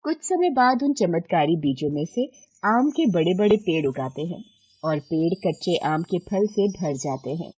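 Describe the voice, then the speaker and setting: A woman's voice: lowish voice, expressive, normal speed, darkish tone, breathy edge. A woman reading scripted narration for a children's moral story as the storyteller.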